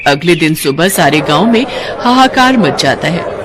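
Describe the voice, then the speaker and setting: A woman narrating a scripted children's moral story in an expressive storyteller's manner. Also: low voice, moderate pace, crowd noise, noisy background.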